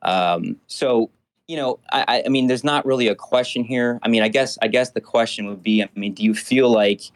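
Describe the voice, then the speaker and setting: A man in his late thirties calling in to a show, speaking haltingly with many fillers and restarts. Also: medium pitch. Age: 30s. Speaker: caller. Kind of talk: phone-in call.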